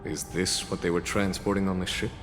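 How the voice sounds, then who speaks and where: A man voices a thoughtful commanding officer in a video game. His voice is fairly low, measured and formal, with music behind and a long reverb. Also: fairly even intonation, lightly acted. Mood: pensive. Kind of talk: scripted video game dialogue.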